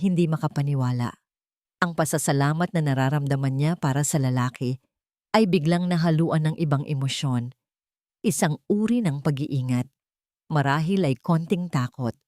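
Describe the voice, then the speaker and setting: A woman narrating a scripted audio drama in a solemn, deliberate and suspenseful manner. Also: low voice, darkish tone, dry recording, studio quality.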